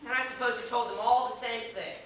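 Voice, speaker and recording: Flustered, indignant young suitor woman performer, bright voice, halting formal delivery, amateur stage comedy, very echoey distant pickup. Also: fairly high pitch, fairly even intonation, a little hiss or hum, lightly acted. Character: flustered, indignant young man awaiting a blind date. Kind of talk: amateur one-act comedy stage acting. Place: theater stage, recorded from far off.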